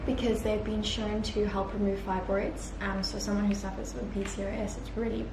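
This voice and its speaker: A woman's voice: mid-range voice, flat delivery, brisk. A young woman chatting casually to camera in a day-in-the-life vlog, with lots of fillers and an upbeat tone. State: croaky from just waking up. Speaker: vlogger. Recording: echoey room with clear background noise.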